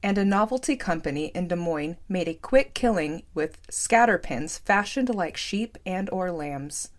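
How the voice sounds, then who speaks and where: A woman's voice: fairly low pitch, animated, a little slow. A woman reading a scripted story aloud as an audiobook narrator.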